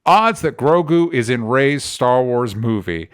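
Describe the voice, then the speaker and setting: A man's voice: mid-range voice, animated, a little slow, clean tone. A man hosting a podcast, chatting casually and emphatically with strong opinions and the odd swear word. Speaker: podcast host. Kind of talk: casual opinionated movie chat.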